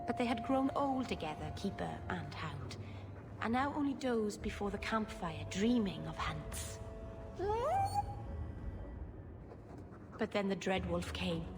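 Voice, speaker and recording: A woman plays a young, bookish elf mage, sweet and awkwardly earnest. Her mid-pitched game dialogue rings in a reverberant room over clear background noise. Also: close to an everyday voice. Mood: storytelling. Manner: earnest, self-deprecating, socially awkward. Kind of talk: video game dialogue and storytelling.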